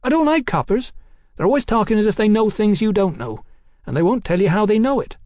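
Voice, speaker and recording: A man voicing a high-pitched cheeky London showgirl. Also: lively intonation, a little fast, a little mellow, dry recording, studio quality. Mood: curious, cheeky. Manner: cheeky, blunt, colloquial.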